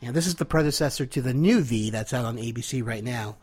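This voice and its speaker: A man's voice: mid-range voice, darkish tone, husky. A man in his thirties from California, a little congested, leaving an enthusiastic recorded comment for a podcast. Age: 30s. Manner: enthusiastic, nostalgic, with filler pauses. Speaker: caller leaving an audio comment. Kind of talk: casual recorded message.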